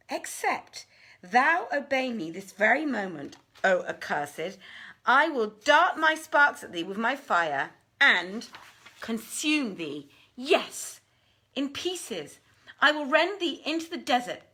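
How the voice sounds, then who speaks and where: A woman's voice: a little high, pushed and bright, husky, pitched above the performer's own voice, exaggerated voice. A woman voicing a proud, fierce, lovestruck winged female spirit in a storybook, declaiming commands in archaic language.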